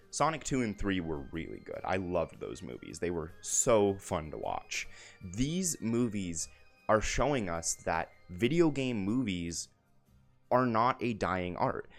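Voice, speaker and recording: A young man giving casual, opinionated commentary to viewers in an enthusiastic, emphatic way, often repeating his phrases. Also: lowish voice. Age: young adult. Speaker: video essay host. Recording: music bed behind the voice.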